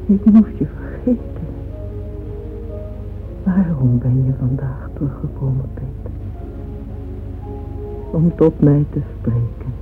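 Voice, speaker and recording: Slow, grieving old woman. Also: extremely low pitch. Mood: anguished grief. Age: older. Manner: halting, anguished, dramatic monologue. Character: grieving weary old woman mourning a beloved friend. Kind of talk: old Dutch radio play acting. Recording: dull vintage radio recording with music.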